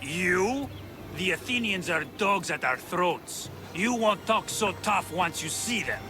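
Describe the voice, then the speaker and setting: A man's voice: high voice, lively intonation, slow pace. A man playing an embattled, skeptical soldier standing guard in a video game, brusque and challenging. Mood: suspicious, brusque. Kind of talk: cinematic video game acting. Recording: music under the voice.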